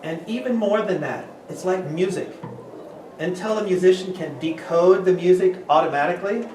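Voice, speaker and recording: A middle-aged man presenting to a class, reading and explaining in a large room. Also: sits high. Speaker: workshop presenter. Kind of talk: teaching talk and scripted reading to a class. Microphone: camera a few meters away. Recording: echoey room, far from mic, noisy.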